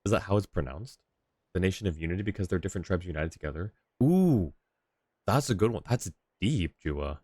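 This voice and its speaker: A man's voice: low voice, expressive, brisk, darkish tone, no room sound, clean studio sound. A man streaming a game with casual, playful and teasing commentary.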